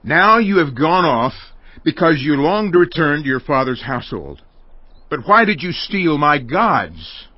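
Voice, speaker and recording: Casting: indignant elderly father for scripture drama, animated, declamatory, in a dry booth. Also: a little high, unhurried, no echo. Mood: indignant, accusing. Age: older. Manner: loud, accusing, declamatory. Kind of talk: dramatized audiobook acting. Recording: dull, dry studio recording.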